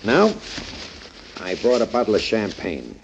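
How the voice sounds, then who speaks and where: Low-voiced cocky radio gangster. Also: male voice, wildly swooping pitch, unhurried, slight background noise, exaggerated voice. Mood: smug. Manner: mocking, wisecracking, slangy. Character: cocky, sneering gangster, a fight-fixing gambler. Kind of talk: 1940s radio acting. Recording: muffled old 1940s radio recording.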